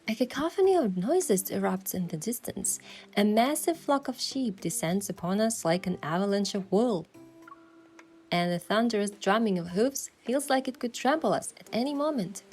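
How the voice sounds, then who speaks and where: Woman voicing young hunter girl from a game, mid voice, wistful first-person narration, playful edge, soft music behind. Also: medium pitch, expressive, moderate pace, natural voice. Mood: reflective, descriptive. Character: young female hunter heroine, earnest, wistful, playfully cheeky.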